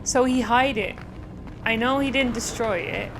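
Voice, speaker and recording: A woman's voice: fairly high pitch, a little slow, background noise. A woman giving casual reaction commentary as she watches a video game.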